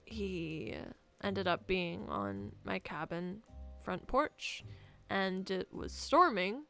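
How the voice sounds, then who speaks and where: A woman acting as a troubled young camp girl in a game roleplay, confiding in a hesitant, halting way. Her voice sits at a middle pitch and often trails off. Soft music plays behind her. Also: lively intonation, vocal fry, heavily acted. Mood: confiding, uneasy. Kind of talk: improvised Minecraft roleplay dialogue.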